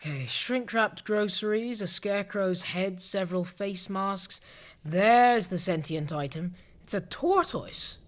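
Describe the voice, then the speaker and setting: Dry, pedantic junior clerk. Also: male voice, sits high, a little slow, fairly bright tone. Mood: matter-of-fact. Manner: dry, matter-of-fact, sardonic. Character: dry, pedantic, long-suffering male junior bureau clerk. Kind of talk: comedy audio drama acting.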